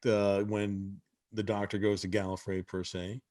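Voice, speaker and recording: Hesitant low-voiced man. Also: level tone, measured pace, darkish tone. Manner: hesitant, many restarts. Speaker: panelist. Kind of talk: casual panel discussion.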